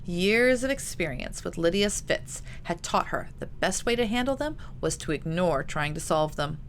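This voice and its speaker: Lower-pitched woman narrating fiction. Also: big pitch swings, moderate pace, slight background noise. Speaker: author reading her own novel.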